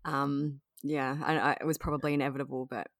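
A young woman from outside the United States, chatting with a friend in a soft, supportive, hedging way. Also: very deep voice, flat delivery, brisk, no echo, clean studio sound. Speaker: friend on a call. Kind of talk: casual chat.

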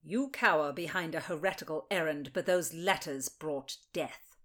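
Woman putting on a cold noblewoman, low voice, slow, icy, menacing, audiobook. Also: fairly bright tone. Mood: accusing.

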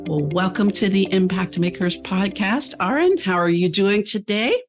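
A woman's voice: mid-range voice, lively intonation, moderate pace, over music. A woman hosting a podcast, asking questions in an upbeat, friendly interviewing manner. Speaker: podcast host.

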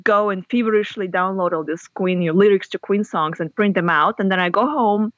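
A woman's voice: medium pitch, a little fast, no room sound, highs cut off. A woman in her thirties from Russia with a Russian accent, telling stories animatedly in an interview and laughing often. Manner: animated storyteller, laughs often, restarts phrases. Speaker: guest author. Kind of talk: casual interview chat.

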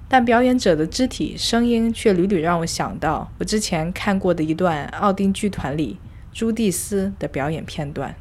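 A woman narrating a scripted first-person arts audio log in a reflective, measured, literary manner. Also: on the low side. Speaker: host. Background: music.

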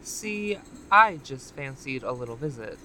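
Smug, slow-talking villainess. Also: female voice, rumbling low, expressive, bright, forceful tone, slight background noise, full character voice, poor audio. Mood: sly, persuasive. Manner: theatrical, teasing, condescending. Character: smug, taunting female time-traveling corporate executive villain. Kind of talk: audio drama acting.

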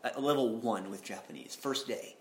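A man's voice: medium pitch, lively intonation, moderate pace. A man hosting a podcast interview, telling anecdotes in a loose conversational way with frequent "uh" and "um". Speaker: podcast host. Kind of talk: casual interview talk. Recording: echoey room with faint noise.

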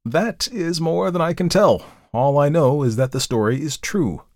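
A man's voice: a little high, animated, normal speed, darkish tone, dry recording, clean studio sound, voice pushed up. A man voicing an evasive storyteller who answers hesitantly and with some annoyance, in a storybook reading. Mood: evasive, annoyed. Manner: hesitant, testy.

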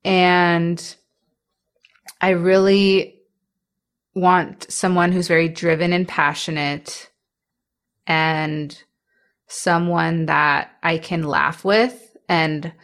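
A woman's voice: fairly low pitch, fairly even intonation, very slow, pauses often, clean tone. A young woman in her twenties who stutters, speaking candidly as an interviewee with restarts, repeated sounds and light laughs. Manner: soft-spoken, laughs between thoughts.

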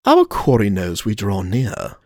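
A man voicing a suave, mocking foreign nobleman with a smooth, sonorous, faintly amused manner. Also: lowish voice, huge pitch swings, measured pace, a little mellow, no echo, clean studio sound, heavily acted.

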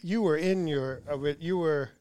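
A man's voice: on the high side, normal speed, a little mellow, smooth, no echo. A man in his forties hosting a podcast interview, rambling a little and correcting himself as he asks questions. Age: 40s. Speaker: podcast host and dentist. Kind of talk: casual interview chat.

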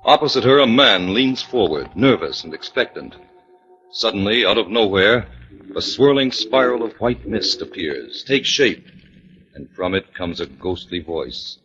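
A man's voice: fairly low pitch, lively intonation, a little slow, over music. A man narrating a mystery drama as a 1940s radio announcer, dramatic and emphatic. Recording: dull old 1940s radio recording.

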